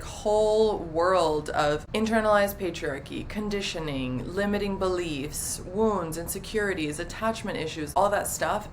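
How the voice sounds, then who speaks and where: A woman's voice: medium pitch, slight background noise. A young woman in her twenties, a relationship coach, talking casually and openly with many fillers as an interview guest. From half Dominican, half Italian, partly raised in the US. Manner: chatty, many "like" fillers, warm and open.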